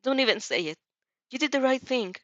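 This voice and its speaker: A woman's voice: sits high, animated, a little fast, a bit bright, dry recording, highs cut off, voice pushed up. A woman voicing an earnest, loyal and polite teenage heroine in a story reading. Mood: reassuring.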